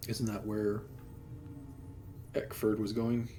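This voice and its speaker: A man's voice: fairly low pitch, little pitch movement, takes it slowly, warm tone. A man taking part in a role-playing game, moving between casual table talk and speaking as his character. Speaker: tabletop role-play player. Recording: music playing behind the voice. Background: music.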